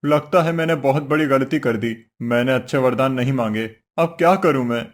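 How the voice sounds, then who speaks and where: A man's voice: mid-range voice, brisk, slight background noise, close to an everyday voice. A man voicing a poor, simple woodcutter husband in a cartoon story, earnest, meek and fretful. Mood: worried. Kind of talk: Hindi cartoon story voice acting.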